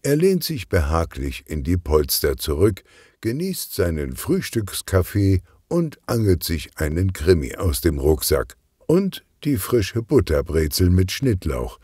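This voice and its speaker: Guy reading a kids detective audiobook, deep and mellow, takes his time. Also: breathy edge, dry recording, studio quality. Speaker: audiobook narrator. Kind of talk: scripted narration of a children's detective story.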